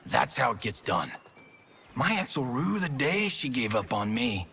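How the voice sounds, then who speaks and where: A man's voice: medium pitch, big pitch swings, unhurried, creaky, sharp tone, a little hiss or hum, exaggerated voice. An actor playing a cocky, wisecracking male movie star in a video game cutscene. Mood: brash. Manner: wisecracking, boastful. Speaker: action film star.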